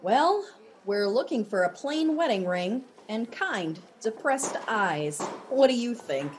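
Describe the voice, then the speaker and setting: A woman's voice: fairly high pitch, big pitch swings, takes it slowly, slight background noise. A woman playing a blunt, matter-of-fact police detective with dry delivery in an audio drama.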